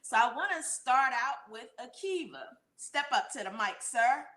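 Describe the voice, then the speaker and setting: Female live-show host, exuberant, bright, higher-pitched voice, repeats herself for emphasis, roomy echo. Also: big pitch swings, moderate pace.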